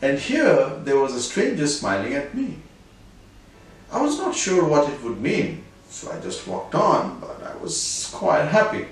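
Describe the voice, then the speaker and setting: A man's voice: on the high side, unhurried. Indian man telling a story in an echoey room. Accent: Indian English. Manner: animated, conversational, moral at the end. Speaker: storyteller host. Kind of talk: personal anecdote storytelling. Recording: very echoey room, distant mic, faint music.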